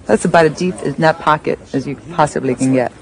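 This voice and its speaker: A man's voice: on the high side, fairly quick, crowd noise, poor audio. A man giving chatty, chuckling play-by-play commentary on pool shots. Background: audience and music.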